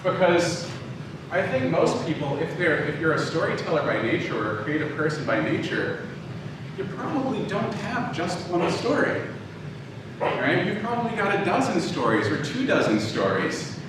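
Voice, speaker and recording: A man giving an informal, conversational lecture, often halting and backtracking to rephrase as he tells personal anecdotes. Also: fairly high pitch, big pitch swings. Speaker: lecturer, audio drama writer and producer. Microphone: distant from the speaker. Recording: very echoey room, distant mic, clearly noisy.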